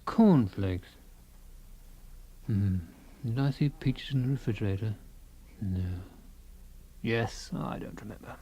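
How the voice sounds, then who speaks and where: A man's voice: lowish voice, takes it slowly, pauses often, mellow, slight background noise. An actor playing a fussy, self-absorbed husband in a radio comedy-drama, rambling and savouring small things, stoic about his creeping paralysis. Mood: wistful relish.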